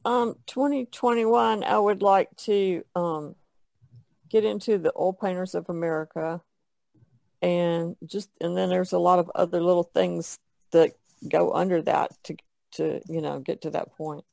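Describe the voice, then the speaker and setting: A woman's voice: fairly low pitch, steady tone, a little slow, gravelly, warm tone, no room sound. A woman artist in casual podcast conversation, speaking in a modest, understated way.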